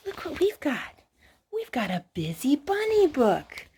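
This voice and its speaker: A woman's voice: high-pitched, huge pitch swings, a little slow, mellow. A woman hosting a children's storytime, reading picture books aloud and asking little prompting questions in a child-directed way.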